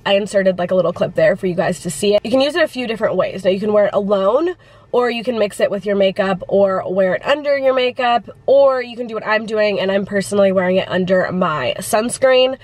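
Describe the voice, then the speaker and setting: A woman's voice: medium pitch, a little fast, darkish tone, dry recording. A woman vlogging casually, congested from the flu and chatting with lots of fillers. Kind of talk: casual vlog chat.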